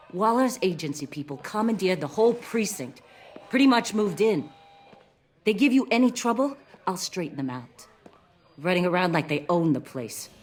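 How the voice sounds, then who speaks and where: A woman's voice: lowish voice, close to an everyday voice. A woman playing a tough, loyal police officer in a video game, plain-spoken and defiant. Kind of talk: dramatic video game acting.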